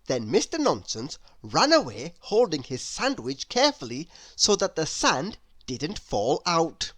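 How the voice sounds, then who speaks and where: A woman narrates a children's storybook at a slow pace with highly animated pitch. She speaks expressively and playfully to the child listening. The sound is close and dry. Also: no room sound.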